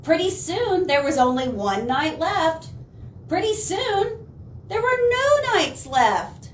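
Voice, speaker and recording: Animated high-voiced woman reading a children's story. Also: takes it slowly. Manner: expressive, dramatic emphasis with asides to young listeners. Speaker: children's librarian reading picture books aloud. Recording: roomy, distant mic, faint noise.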